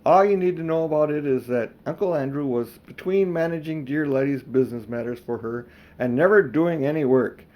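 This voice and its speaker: An older man reading a children's storybook aloud as expressive narration. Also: on the high side, brisk, lo-fi sound. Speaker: audiobook reader.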